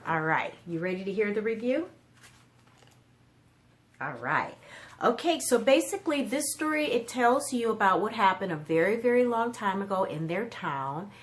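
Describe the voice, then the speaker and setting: A woman's voice: mid-range voice, lively intonation, normal speed. A woman hosting a children's storytime, explaining books and putting simple questions to young listeners. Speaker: children's storytime host and book reviewer. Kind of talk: children's storytime book review.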